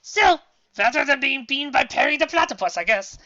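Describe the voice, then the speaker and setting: A woman's voice: sits high, animated, a little fast, sharp tone, pitched above the performer's own voice. Woman playing needy, lonely male evil inventor. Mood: wistful. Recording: dull lo-fi recording.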